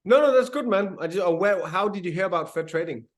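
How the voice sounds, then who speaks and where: A man's voice: sits high, animated, fast. A man interviewing a guest in a friendly, casual way, calling him "man".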